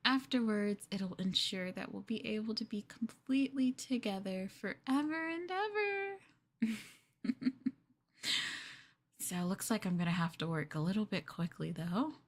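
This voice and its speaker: A woman's voice: medium pitch, expressive, unhurried. A woman playing an obsessively possessive yandere girlfriend in an ASMR roleplay, cooing and coaxing. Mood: sweetly sinister. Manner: cooing, coaxing, with murmurs and giggles.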